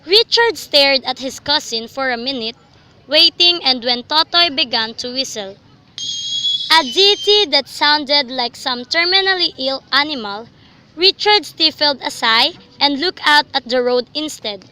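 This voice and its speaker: A woman's voice: sits high, animated, measured pace, bright, forceful tone, rough recording. A young woman reading scripted literary narration for a student radio drama. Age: young adult.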